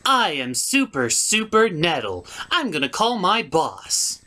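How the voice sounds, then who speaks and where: Guy doing a bossy evil unicorn lady, puppet show style, threatening n blunt, pitch all over. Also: huge pitch swings, takes it slowly, sharp tone, heavily acted. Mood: nettled. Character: bossy, menacing female villain unicorn with a broken horn.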